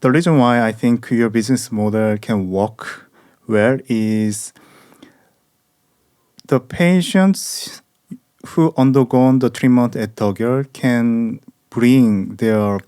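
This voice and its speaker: Slow, halting Korean-accented man. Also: medium pitch, warm tone, clean tone, no room sound, studio quality. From South Korea. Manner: halting, careful English with frequent pauses and "uh". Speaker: podcast host. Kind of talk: casual interview chat.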